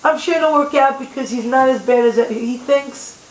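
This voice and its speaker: A woman's voice: high-pitched, moderate pace. A woman with a scratchy voice, co-hosting an amateur talk show with casual, opinionated banter. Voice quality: scratchy voice from singing. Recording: echoey room, faint background hiss.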